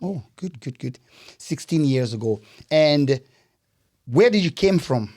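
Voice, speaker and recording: A man's voice: a little high, lively intonation, a little slow, darkish tone. A man hosting a podcast, interviewing in a casual style and often repeating words and phrases back. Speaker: podcast host.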